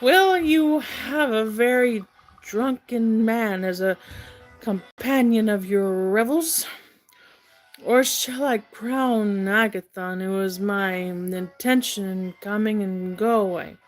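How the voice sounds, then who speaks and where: A boisterous drunken reveler, played by a woman narrator, declaiming loudly and teasingly as in an audiobook of an ancient dialogue. The man roars his lines with a swaggering, tipsy air, over clearly audible music. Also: medium pitch, takes it slowly, close to an everyday voice. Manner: loud, declamatory, roaring. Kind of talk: audiobook character voice in classical dialogue.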